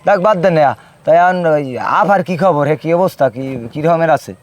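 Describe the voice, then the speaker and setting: A man's voice: on the high side, brisk, noisy background, lightly acted. A man playing a familiar, concerned village nephew in a drama, speaking colloquially with a Barisal accent from southern Bangladesh. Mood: protesting, concerned. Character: familiar, concerned rural nephew, respectful to his uncle.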